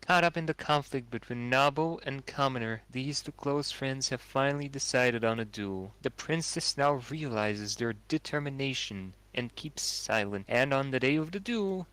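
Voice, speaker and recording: A man's voice: mid-range voice, moderate pace, hardly any pauses, fairly bright tone, clean tone, close to an everyday voice, rough recording. A man playing a solemn storyteller, giving scripted dramatic narration for a chivalric play.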